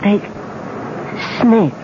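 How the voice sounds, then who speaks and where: An actor playing a dying foreign king in a 1970s radio drama, weak and halting, gasping out broken English. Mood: weak, urgent. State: dying, weak, struggling for breath. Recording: dull noisy 1970s radio broadcast.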